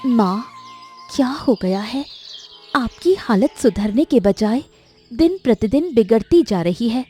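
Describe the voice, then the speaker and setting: Casting: a woman as earnest young daughter for a story cartoon, anxious, questioning, lifted pitch, soft tone, music underneath. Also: lively intonation, a little slow, slightly rough. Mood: worried, pleading. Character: worried, devoted young village daughter. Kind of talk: dramatic animated story acting.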